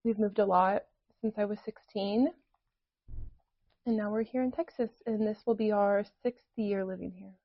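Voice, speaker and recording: A woman's voice: medium pitch, fairly even intonation, moderate pace, no room sound, lo-fi sound. A woman in her twenties from the United States, co-hosting a casual podcast chat in a giggly, laid-back way. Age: 20s. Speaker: podcast co-host.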